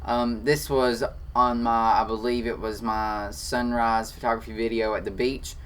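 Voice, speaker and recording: Teen photography vlogger, Kentucky country accent, chatty and excitable, talking from a home office. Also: male voice, medium pitch, unhurried, clear, resonant tone. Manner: excitable, chatty, defensive then joking. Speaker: photography channel host. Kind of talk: casual Q&A reading comments.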